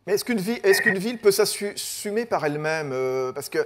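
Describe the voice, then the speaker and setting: A man's voice: high voice, expressive, moderate pace, heavy background noise. A male host moderating a debate, asking probing questions with many fillers.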